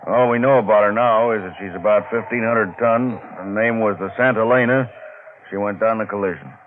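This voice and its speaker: An actor playing a businesslike salvage ship captain in an old-time radio mystery, giving instructions in a loud, matter-of-fact way. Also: male voice, fairly low pitch, fairly even intonation, a little fast, natural voice. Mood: brisk, commanding. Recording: muffled old radio recording, faint noise.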